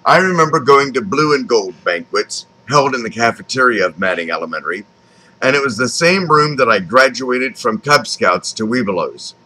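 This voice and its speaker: Male memoir narrator, fifties, American accent. Bright, animated intonation, deliberate scripted read. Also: medium pitch, low-quality recording. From Texas, United States. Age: 50s. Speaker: author reading his own memoir.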